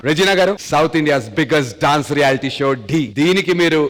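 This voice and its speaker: A man hosting a dance reality show, announcing in a theatrical, drawn-out way. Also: fairly high pitch, fairly bright tone. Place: large stage studio. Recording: long hall reverb, music behind.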